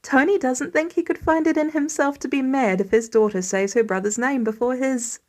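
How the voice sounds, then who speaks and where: A woman narrating fiction in an expressive scripted reading style, as an audiobook reader. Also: sits high, moderate pace.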